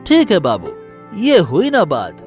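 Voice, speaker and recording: A man plays a villain's henchman in a cartoon village tale, deferential and conspiratorial. The voice is high for a man and very animated, over faint music. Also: measured pace, exaggerated voice. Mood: conspiring, wary.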